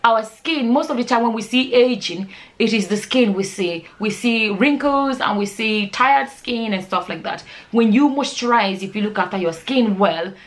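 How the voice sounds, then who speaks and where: A woman of forty from Nigeria chatting informally as a vlogger, often repeating words and phrases for emphasis. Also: mid-range voice. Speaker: vlogger, channel host. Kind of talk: casual chatty vlog answering viewer questions.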